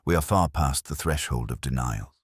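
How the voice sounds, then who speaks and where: A man voicing a cool, relentless Victorian detective with formal, deliberate speech in an audiobook story. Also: extremely low pitch, husky, no room sound, clean studio sound. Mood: cool warning.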